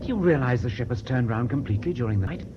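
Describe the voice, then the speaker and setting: A man's voice: medium pitch, expressive, very fast, natural voice. An actor in a radio drama playing a shrewd, observant British seaman held prisoner, colloquial and matter-of-fact. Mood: intent, concerned. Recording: dull, very noisy old radio recording.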